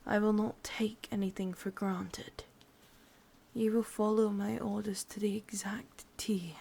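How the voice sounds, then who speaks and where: Casting: actress as possessive yandere witch for ASMR roleplay, English accent, breathy and husky, unhurried, quietly menacing. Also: medium pitch, level tone. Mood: cold menace. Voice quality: breathy whisper. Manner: slow, deliberate, softly menacing. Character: sadistic, lovelorn, ancient yandere witch, commanding and possessive.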